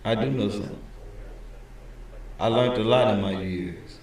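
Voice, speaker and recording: A man's voice: on the low side, normal speed, darkish tone, a little hiss or hum. A man in his twenties giving a rambling, preachy motivational talk to his live stream audience, calling listeners "bro" over and over. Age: 20s. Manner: preachy, rambling, repeats "bro" and "you feel me". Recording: very echoey room, mic far off.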